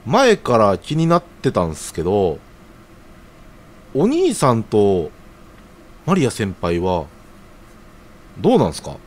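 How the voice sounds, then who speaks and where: A man's voice: lowish voice, wildly swooping pitch, slow pace, long pauses, exaggerated voice. An actor playing an earnest, hesitant young man in an audio drama, speaking in a casual, deferential junior's way. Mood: curious. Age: young adult.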